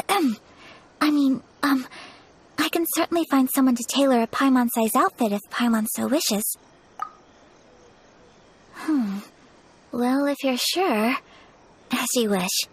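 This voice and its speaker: High-voiced, refined young noblewoman. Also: slightly rough, heavily acted, poor audio. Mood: curious, wistful. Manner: formal, measured, courteous. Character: refined, polite young noblewoman, gentle and earnest. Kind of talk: video game character acting. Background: music.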